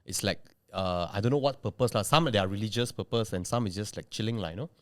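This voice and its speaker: A man's voice: lowish voice, quick pace. A man with a Malaysian English accent, chatting casually on a podcast, laid-back and laughing often. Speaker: podcast co-host. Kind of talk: casual chat.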